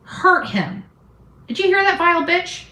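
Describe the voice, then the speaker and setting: A woman's voice: extremely high pitch, expressive, a little slow, pushed and bright. A woman giving informal, opinionated online commentary as a host, blunt, defiant and combative, with salty slang. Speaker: YouTube true-crime commentary host. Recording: echoey, roomy sound.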